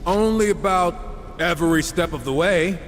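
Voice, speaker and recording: A cocky male gunslinger horseman, played by a man in a fantasy game. His sarcastic wisecracks come in a lightly raised, lively voice. Long reverb trails it, with faint music underneath. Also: sits high, moderate pace, very noisy, natural voice. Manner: sarcastic, flippant. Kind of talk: dramatic video game voice acting.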